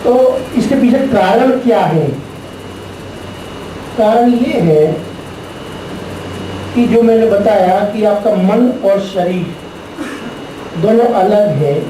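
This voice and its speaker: Man, spiritual lecturer, unhurried, rhetorical questions, distant noisy room recording. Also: high-pitched, very echoey. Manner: slow, deliberate teaching with rhetorical questions and repeated phrases. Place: large echoey classroom. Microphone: distant room mic.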